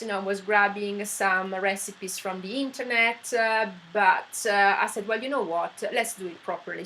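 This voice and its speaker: A middle-aged woman with an Italian accent talks through her own story, chatty and full of emphatic words. Her voice is bright and crisp at an ordinary pitch. A faint hum of noise sits behind her. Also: rough recording. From Italy. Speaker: vlogger. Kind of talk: casual personal story.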